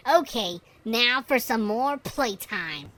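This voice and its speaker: A man's voice: very high voice, expressive, takes it slowly, pushed and bright, voice pushed up, heavily acted. A man voicing a teasing, playful and sinister young female villain in a fan fiction story. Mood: sinister playfulness. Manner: teasing, taunting.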